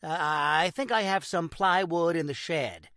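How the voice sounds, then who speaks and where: A man's voice: on the high side, measured pace, sharp tone, no echo, clean studio sound. A man playing a practical, soothing middle-aged father in an audio drama. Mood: concerned, soothing.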